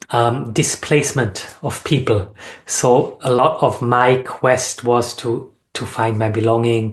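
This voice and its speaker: A man from Austria speaking as an interview guest, reflecting on personal experience in an earnest, measured way with deliberate phrasing. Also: mid-range voice. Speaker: sound healing facilitator and interview guest.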